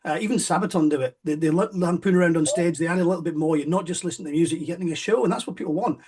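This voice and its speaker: A man's voice: on the high side, rapid-fire, a little mellow, slightly rough. A man hosting a casual interview, friendly and rambling, with plenty of fillers. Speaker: podcast host.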